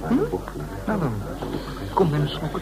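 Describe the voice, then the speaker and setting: An actor in a Dutch radio drama playing a cheeky, easygoing man, a smooth-talking flirt with a casual, nonchalant manner. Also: a little high, unhurried, loud music behind the voice. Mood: cheerful, coaxing.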